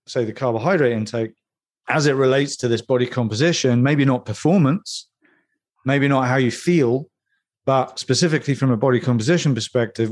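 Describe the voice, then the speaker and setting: A man co-hosting a discussion, setting up the topic in a measured, structured way. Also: mid-range voice. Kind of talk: conversational expert discussion.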